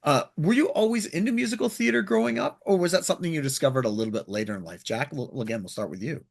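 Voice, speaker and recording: A man's voice: a little high, lively intonation, quick pace, creaky. A man hosting an interview show in a conversational style, putting questions to guests.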